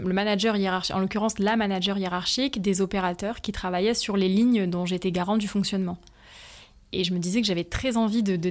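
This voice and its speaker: A woman in her twenties, from France, answering interview questions about her work with many hesitant fillers and careful explanations. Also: mid-range voice. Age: 20s. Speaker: interview guest, factory team manager.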